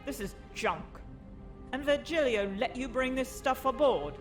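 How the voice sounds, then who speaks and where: An actress playing a stern, brusque starship captain in an audio comic drama, curt, scornful and commanding. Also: female voice, high voice, lively intonation, measured pace, faint music behind.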